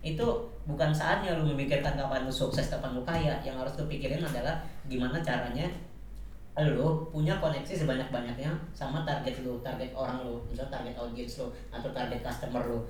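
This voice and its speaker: Fast-talking young Jakarta man, very echoey recording. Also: medium pitch, fairly even intonation, a little mellow. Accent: Jakarta Indonesian. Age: 20s. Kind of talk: casual conversational talk, motivational advice. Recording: echoey room, distant mic, faint noise.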